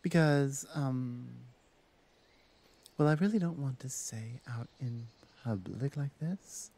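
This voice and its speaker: A woman playing a confident orc shaman in an ASMR roleplay, speaking in a measured, self-assured way. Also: a bass voice, warm tone, breathy edge, heavily acted. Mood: grave. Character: confident orc shaman woman, the huntress's magic-wielding sister. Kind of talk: ASMR roleplay audio drama.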